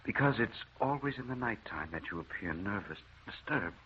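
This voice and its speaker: An actor playing a grave, devoted doctor in an old radio drama, speaking in a formal, measured and courteous way. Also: male voice, fairly low pitch, lively intonation, slight background noise, natural voice. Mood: concerned. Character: grave, devoted doctor husband addressing the press. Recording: dull, muffled old radio recording.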